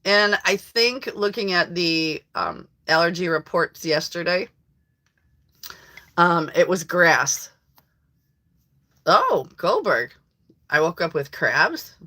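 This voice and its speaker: Hoarse, bright-voiced woman live-streaming. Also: on the low side, very animated, slow pace, pauses often. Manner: chatty, rambling, laughs often. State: hoarse from allergies. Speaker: livestream host. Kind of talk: casual live-stream chat. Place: rural home.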